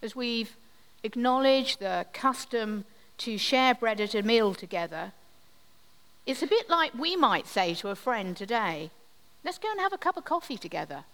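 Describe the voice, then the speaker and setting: A woman leading a communion service, giving a gentle, reflective sermon with measured pauses. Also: on the high side, very animated. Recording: long hall reverb.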